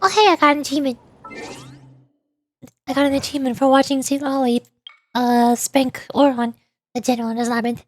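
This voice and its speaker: Girl streamer w high voice, chatty playful game commentary, dry mic sound. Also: fairly even intonation, a little fast, long pauses, no echo, soft background music. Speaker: streamer, VTuber.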